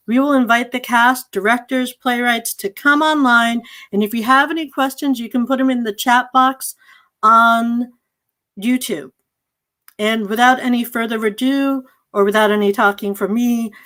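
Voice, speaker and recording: A woman's voice: fairly high pitch, unhurried, fairly bright tone. A woman hosting an online evening of play readings, giving a welcoming introduction as a theatre manager.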